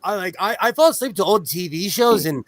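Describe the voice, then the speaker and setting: A man's voice: sits high, animated, a little fast, background noise. A man in his forties with a nasal voice, telling joking stories in casual group banter. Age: 40s. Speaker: panelist on a livestream discussion.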